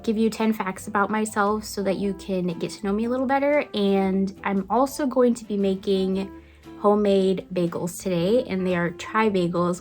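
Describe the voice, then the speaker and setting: A young woman from Brazil with a slight Brazilian accent, chatting upbeat in a casual get-to-know-me vlog from her home kitchen, with small restarts. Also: mid-range voice. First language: Brazilian Portuguese. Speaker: lifestyle vlogger. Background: faint guitar music.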